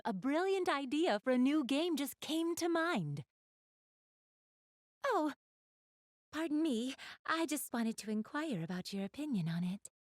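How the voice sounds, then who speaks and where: A woman's voice: sits high, no room sound, studio quality. A woman playing an elegant young goddess in an anime video game, speaking to the player with polite, genteel, formal diction and a keen gamer's refinement. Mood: polite, eager.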